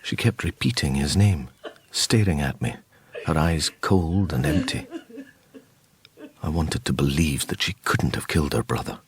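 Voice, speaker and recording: An actor playing a troubled psychic detective who narrates his own story in a radio drama, slow and brooding. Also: extremely low pitch, lively intonation, exaggerated voice. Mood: haunted, brooding. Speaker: narrator and lead character.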